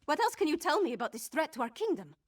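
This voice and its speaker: A woman's voice: high voice, sing-song, quick pace. A woman voicing a headstrong young princess in a fantasy video game, forthright and emphatic in her delivery. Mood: urgent. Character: headstrong young princess, grieving and righteously indignant. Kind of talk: video game dialogue acting.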